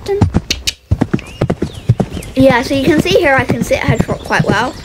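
A woman's voice: sits high, very animated, measured pace. A young woman giving casual commentary as she rides a horse in a game, chatty and full of fillers, coaxing her horse along. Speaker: vlogger narrating a horse game. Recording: loud noise behind the voice.

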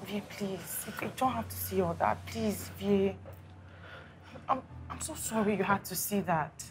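A woman's voice: mid-range voice, measured pace, background noise, close to an everyday voice. An actress playing an earnest young woman friend breaking hard news in a hesitant, apologetic, pleading way. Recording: clear music under the voice.

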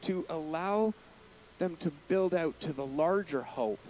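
A man in his thirties preaching a sermon into a handheld mic in a small church hall, earnest, lifting his voice on key points and dropping low at phrase ends. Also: unhurried, darkish tone, a little hiss or hum. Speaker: pastor. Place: church hall with curtains, small indoor stage.

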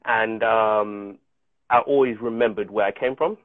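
A man's voice: on the low side, fairly even intonation, unhurried, no room sound. A man in his thirties from South Africa, whose first language is Chichewa, talking earnestly and reflectively as an interview guest over a video call. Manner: earnest, reflective storytelling with many restarts. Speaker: guest actor. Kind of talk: casual interview. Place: home room on a video call. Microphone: video call with earphone mic. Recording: tinny dry phone-like call audio.

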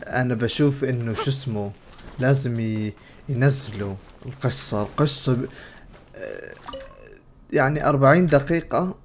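A man's voice: mid-range voice, normal speed, a little mellow, a little hiss or hum. A young adult man with a Levantine Arabic accent, casually narrating and commenting on a game as he streams it. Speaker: gaming streamer. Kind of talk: casual game playthrough commentary, retelling dialogue. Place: indoors at a computer.

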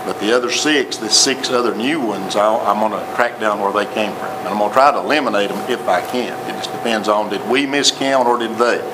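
A man's voice: fairly low pitch, fairly quick, few pauses. A man with a Tennessee accent giving a plainspoken report to a city council, repeating himself as he explains. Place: council chamber with audience. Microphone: table microphone. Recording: noisy council chamber recording.

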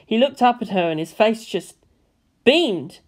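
A woman's voice: on the high side, lively intonation, unhurried. A woman reading scripted fan fiction narration as an audiobook narrator.

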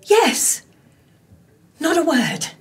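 An actress playing a composed, coaxing middle-aged radio actress in a comedy soap, articulate and soothing. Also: female voice, high-pitched, very animated, extremely slow, exaggerated voice. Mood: coaxing, cagey. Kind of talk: naturalistic comedy soap acting. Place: radio studio corridor indoors, film camera.

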